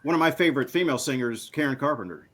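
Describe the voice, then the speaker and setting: A man's voice: mid-range voice, lively intonation, very fast, a bit bright. A man co-hosting a casual talk show, asking curious questions with many restarts and hesitations. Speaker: co-host. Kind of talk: casual chat.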